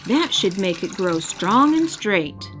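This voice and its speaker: A woman voicing an earnest, inventive little boy in a storybook, thoughtful and gentle. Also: mid-range voice, very animated, slow, faint music behind.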